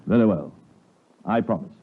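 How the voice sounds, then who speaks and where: An actor playing a stern, loyal British gentleman lawyer in a 1950s radio drama, formal, blunt and emphatic. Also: fairly low pitch, lively intonation, moderate pace, loud music behind the voice, natural voice. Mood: reluctant. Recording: muffled, lo-fi 1950s radio broadcast.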